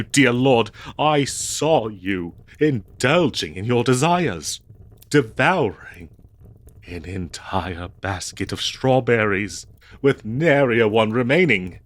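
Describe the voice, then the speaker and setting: Male actor as fretful, devoted 19th-century husband for comic audio drama. Florid and theatrical, unhurried delivery, animated intonation, halting when flustered. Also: medium pitch, no room sound. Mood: anxious, grave. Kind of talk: comedic period audio roleplay acting.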